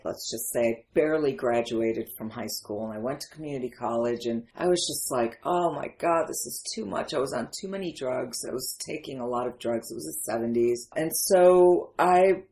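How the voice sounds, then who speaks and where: A woman in her fifties with an American accent, originally from Syria, talking candidly and emphatically as an interview guest. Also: sits low. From Syria, then Detroit area in the United States. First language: not English. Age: 50s. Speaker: interview guest, memoir writer. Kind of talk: conversational personal storytelling.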